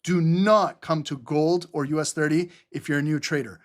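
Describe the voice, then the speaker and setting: A man runs a live trading webinar over a computer mic. His voice sits a little high, and he talks loosely and chattily, with plenty of ums and restarts. He likes to joke at his own expense. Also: steady tone, moderate pace. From Albanian heritage. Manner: rambling, chatty, self-mocking, many fillers.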